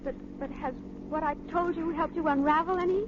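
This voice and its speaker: A woman's voice: high-pitched, steady tone, a little fast, natural voice. An actress playing an earnest, nervy female investigator in a radio drama, hesitant and eager. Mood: anxious, eager. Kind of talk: old-time radio drama acting. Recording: dull, noisy old radio recording.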